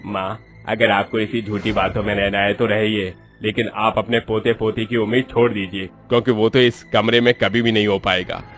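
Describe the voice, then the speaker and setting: Guy playing a fed up young husband, lowish voice, music behind, story dialogue. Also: flat delivery, moderate pace, a bit bright, natural voice. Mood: frustrated. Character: frustrated young married son.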